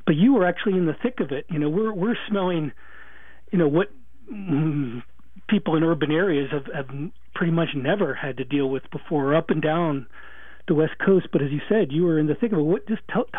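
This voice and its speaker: Male radio host, casual and halting, tinny phone-line sound. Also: fairly high pitch, dry recording. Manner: conversational, with many restarts and fillers. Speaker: radio talk show host. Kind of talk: radio interview.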